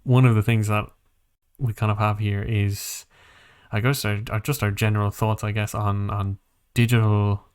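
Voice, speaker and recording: A man's voice: fairly low pitch, little pitch movement, normal speed, smooth. A man hosting a casual podcast about games and films, thinking aloud in a hesitant, rambling way. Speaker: podcast host.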